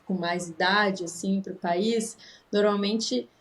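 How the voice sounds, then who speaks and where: A woman's voice: medium pitch, fairly even intonation, slow. A woman, a psychologist, explaining with frequent tag questions. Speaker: psychologist guest. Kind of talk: conversational video call discussion.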